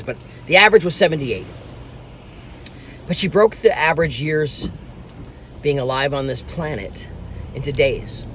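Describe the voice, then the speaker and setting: A man's voice: mid-range voice, fairly bright tone, a little hiss or hum. Earnest man in his fifties, in a car. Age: 50s. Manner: earnest, emphatic, repeats key points. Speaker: business coach. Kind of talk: motivational talk to camera. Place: inside a parked car. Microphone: phone camera at arm's length.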